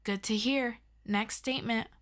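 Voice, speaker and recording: A woman playing an earnest, excitable superhero best friend in an ASMR audio roleplay, chatty and expressive as she tutors a listener. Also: a little high, unhurried. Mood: businesslike, friendly. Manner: chatty and expressive, with interjections and sighs. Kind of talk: ASMR audio roleplay, scripted one-sided conversation.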